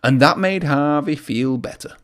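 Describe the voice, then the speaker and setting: A man's voice: a little high, unhurried. A man reading a children's story aloud in a narrating style. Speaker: storybook reader.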